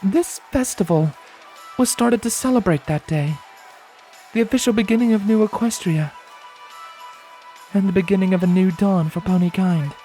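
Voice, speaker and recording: Earnest, protective adult pony mare, played by a male reader, firm and guarded, raised high in pitch, storybook reading. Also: normal speed, long pauses, dark tone, faint music behind, natural voice. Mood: awestruck, explaining.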